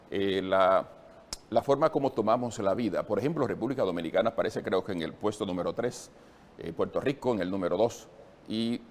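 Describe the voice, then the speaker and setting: Low-voiced male host. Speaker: interview show host. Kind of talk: talk show interview.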